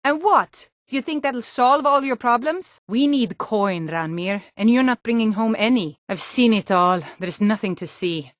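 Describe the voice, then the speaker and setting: A woman's voice: a little high, animated, unhurried, few pauses, dry recording, clean studio sound. An actress voicing a hardy, no-nonsense Nord townswoman and guard in a fantasy video game, with a firm, plain-spoken delivery. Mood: scornful, angry, then stern, commanding. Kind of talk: scripted fantasy game dialogue.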